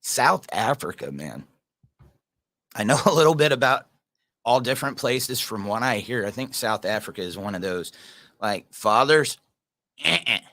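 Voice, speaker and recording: A man's voice: mid-range voice, big pitch swings, moderate pace, pauses often, sharp tone, dry recording. A man hosting a casual livestream, reading viewer comments and talking earnestly in a rambling way, addressing viewers by name.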